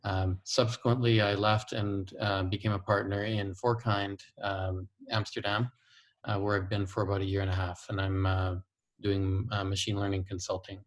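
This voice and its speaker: A man from Canada in his fifties introducing himself in a guest lecture, halting and full of fillers. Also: on the low side, level tone, low-quality recording. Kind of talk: recorded online lecture.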